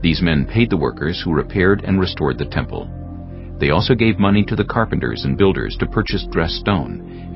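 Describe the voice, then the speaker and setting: A man narrating dramatized scripture in a solemn, deliberate, scripted style. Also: low-pitched, gravelly. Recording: slightly dull audio with music bed.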